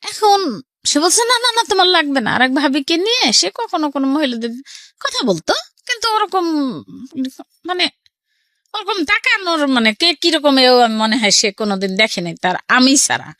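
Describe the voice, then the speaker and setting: A woman's voice: high-pitched, moderate pace, no echo, studio quality. A middle-aged woman with a Bangladeshi accent telling her personal story in an interview, acting out what people said as she goes. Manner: animated, quotes people's words as she recounts.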